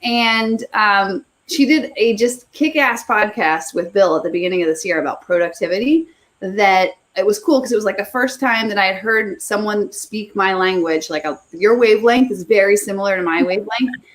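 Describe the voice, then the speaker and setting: A woman's voice: mid-range voice, normal speed. A woman in her thirties hosting a live video chat, bubbly and chatty, laughing often and rambling as she introduces her guest. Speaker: host.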